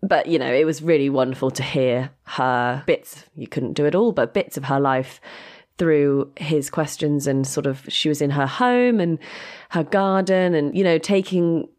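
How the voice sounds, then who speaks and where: A woman's voice: sits low, a little slow, few pauses, darkish tone. A British woman co-hosting a podcast, chatting casually in an effusive, gushing way. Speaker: podcast co-host.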